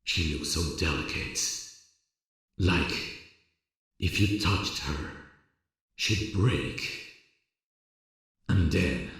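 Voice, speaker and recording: A man playing a gentle, earnest theatre director in love, in an intimate ASMR romance roleplay, speaking in a tender, confiding, hesitant way with a husky voice. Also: extremely low pitch, dry recording, full character voice. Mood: awed, adoring.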